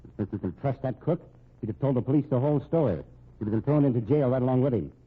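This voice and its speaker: A tinny, narrow old radio recording of a stern, respectable husband. He talks quickly in a rough, husky voice on the low side. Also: lively intonation. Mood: accusing, stern. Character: stern, accusing, respectable husband standing up to threats. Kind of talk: old-time radio drama acting.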